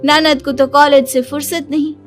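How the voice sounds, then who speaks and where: A woman's voice: sits high, little pitch movement, normal speed, faint music behind, natural voice. A woman voicing a boastful, self-pitying young daughter-in-law in a story, complaining dramatically.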